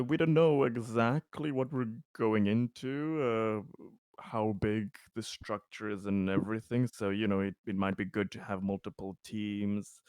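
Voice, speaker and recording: A man's voice: lowish voice, a little mellow, no echo. A man playing in a tabletop game, chatting casually, stammering and laughing easily. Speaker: player.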